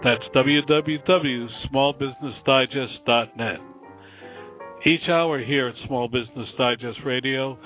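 A man's voice: on the high side, dry recording. A man hosting a small business radio show, reading a formal intro and interviewing with many fillers. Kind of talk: scripted intro then interview. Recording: phone-like line.

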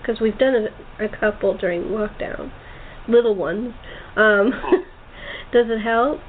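A woman's voice: mid-range voice, slow. A woman with an American accent, hosting a casual group interview. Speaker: host and interviewer of a radio play cast. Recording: tinny phone line with clear hiss.

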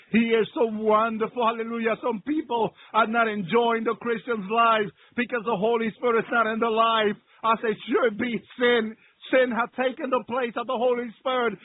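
High-voiced man preaching with fervor. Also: phone-quality sound. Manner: exclamatory and repetitive, punctuated with praise interjections. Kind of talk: fervent extemporaneous sermon with prayer.

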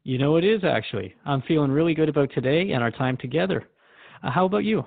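A man's voice: fairly high pitch, lively intonation, fast, gravelly, no room sound, narrow, tinny audio. A man playing a thoughtful, friendly radio host who asks probing questions in a conversational radio drama interview.

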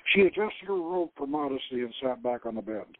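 A man's voice: medium pitch, expressive, brisk, background noise. Phone-line man dramatically reading a novel. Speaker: host reading his own novel. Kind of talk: dramatic audiobook-style fiction reading. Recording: thin phone-like internet radio sound.

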